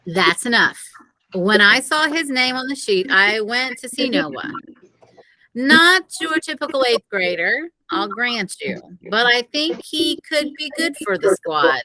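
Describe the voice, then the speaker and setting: A woman voicing a firm, fair-minded female teacher and coach in a children's audiobook, speaking in a measured, admonishing manner. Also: a little high, very animated, sharp tone, a little hiss or hum, exaggerated voice, poor audio. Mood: firm, reasoning. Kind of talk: children's audiobook character reading.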